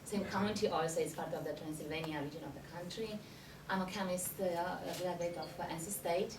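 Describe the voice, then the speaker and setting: A woman's voice: lowish voice, fast. Romanian woman, distant echoey room. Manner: hesitant, many fillers. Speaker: event attendee. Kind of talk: self-introduction. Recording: echoey room from far off, noisy.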